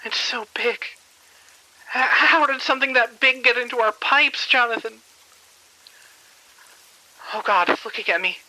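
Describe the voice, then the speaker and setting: An actress plays a terrified female hospital worker in a horror audio drama. Her voice is fairly high, sharp and tense, recorded dry. She speaks in halting, panicked bursts and breaks off mid-sentence. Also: no echo, poor audio. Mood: trapped, terrified. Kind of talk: audio drama phone-call acting.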